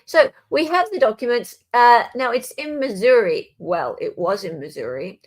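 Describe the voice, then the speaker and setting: A woman's voice: on the high side, animated, normal speed, slightly rough. Chatty woman hosting a livestream. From Australia. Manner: informal, chatty, addresses viewers directly. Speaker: livestream host. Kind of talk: casual live legal commentary chat.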